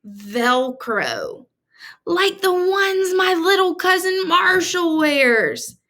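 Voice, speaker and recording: High, bright-voiced woman reading slowly aloud. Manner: deliberate, explanatory, addressing children directly. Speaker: teacher reading a picture book aloud to children. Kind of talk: expressive storybook read-aloud with teacherly asides.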